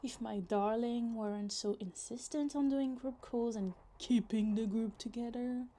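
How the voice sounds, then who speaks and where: A woman's voice: on the high side, gravelly. A woman voicing a possessive, obsessive young woman who schemes and muses to herself in a roleplay ASMR monologue, sighing and slipping into half-whispers. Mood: musing, coldly resentful.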